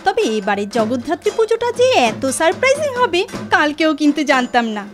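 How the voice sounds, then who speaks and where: Woman acting a very high, animated woman. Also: a little fast, over music. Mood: chatty, fond. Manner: exclamatory, playful chiding. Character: chatty, mock-jealous Bengali married woman, old college friend. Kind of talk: Bengali audio drama acting.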